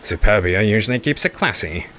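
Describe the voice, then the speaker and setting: Casting: male narrator as a low-voiced, assured sidekick guide for sci-fi audiobook, wry and blunt, background ambience. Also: animated, a little fast, fairly bright tone, slight background noise. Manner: matter-of-fact, wry, assured. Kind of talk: science fiction audiobook character.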